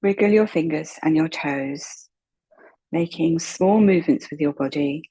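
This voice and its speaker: A woman leading a slow guided meditation in a soft, breathy voice, calm and unhurried, with long pauses. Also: low voice, low-quality recording. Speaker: guided relaxation meditation instructor.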